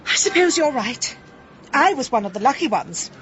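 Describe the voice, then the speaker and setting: A woman's voice: high voice, big pitch swings, normal speed, fairly bright tone, lo-fi sound, a little hiss or hum. An actress playing a simpering, overwrought, flirtatious village woman in a radio comedy-drama. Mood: self-pitying. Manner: overwrought, breathless gushing.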